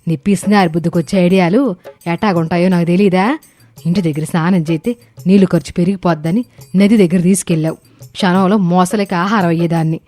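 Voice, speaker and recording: A woman's voice: on the low side, brisk, mellow, faint music behind, natural voice. A woman voicing an irritable older mother-in-law in a story, grumbling with a scolding, sarcastic manner. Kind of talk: animated moral story dialogue.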